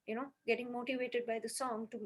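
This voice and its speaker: A woman's voice: on the high side, level tone, fast talker. A woman on a podcast earnestly explaining her music healing method step by step, with many "you know"s.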